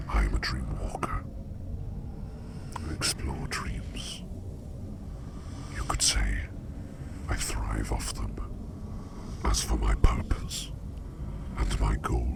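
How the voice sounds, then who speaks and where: A man playing a curious, enigmatic sleep demon in a whispered ASMR roleplay, speaking in a hushed, deliberate and formal way with a breathy, gravelly voice. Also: a bass voice, heavily acted. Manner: slow, deliberate, hushed, formal wording with repeated phrases. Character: curious, enigmatic male sleep demon who walks dreams.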